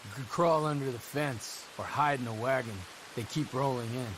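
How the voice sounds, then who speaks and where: A man voicing a calm, earnest young man who speaks in a measured, quiet, serious way. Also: medium pitch, background noise, lightly acted.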